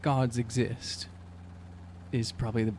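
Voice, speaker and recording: A man playing a tabletop game, improvising in a casual, halting way as he searches for words. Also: mid-range voice, little pitch movement, darkish tone, slight background noise. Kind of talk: casual improvised role-play chat.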